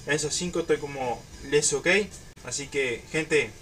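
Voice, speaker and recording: A young man with a Uruguayan accent, telling a story casually to camera in an informal, halting way. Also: a little high, recorded from a distance, soft background music. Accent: Uruguayan Spanish. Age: young adult. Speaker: YouTube storyteller. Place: small room at home.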